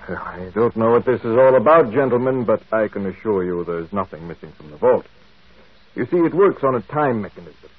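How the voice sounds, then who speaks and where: An actor playing a brisk, self-assured, skeptical office boss in a radio drama. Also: male voice, fairly low pitch, expressive. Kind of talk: old radio drama acting. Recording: muffled, tinny vintage radio broadcast.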